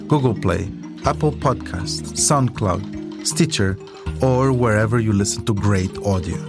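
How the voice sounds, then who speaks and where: A man hosting a podcast, narrating a scripted documentary. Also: mid-range voice.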